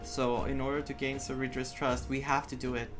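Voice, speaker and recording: A man's voice: mid-range voice, flat delivery, fairly quick. A man giving casual playthrough commentary, chatting and thinking aloud as he goes. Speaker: gaming content creator. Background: game music.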